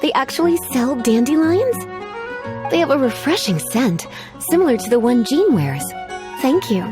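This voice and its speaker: A coy, languid adult witch in a video game. She teases in a genteel, flirtatious way, her fairly high voice full of lilting swoops, with light music behind. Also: big pitch swings, moderate pace. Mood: teasing, indulgent. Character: flirtatious, languid adult witch librarian, teasing, quietly menacing. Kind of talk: video game character acting.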